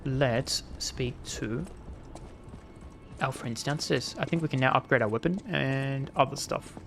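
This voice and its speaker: A man's voice: mid-range voice, unhurried, vocal fry, a bit bright, a little hiss or hum. A man streaming a video game, rambling with jokey asides as he plays. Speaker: gaming streamer. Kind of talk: casual gameplay commentary.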